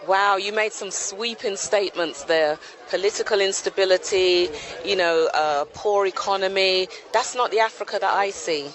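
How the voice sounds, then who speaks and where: A UK-born speaker representing a group, answering interview questions in a calm, firm manner. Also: mid-range voice, normal speed, low-quality recording. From United Kingdom. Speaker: association representative. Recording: outdoor recording with crowd noise. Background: crowd.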